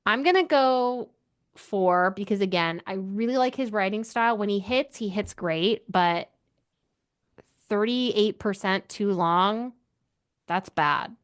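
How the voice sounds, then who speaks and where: A woman's voice: medium pitch, takes it slowly, clean tone. A woman co-hosting a book podcast in casual chat, speaking in an informal, opinionated and emphatic way.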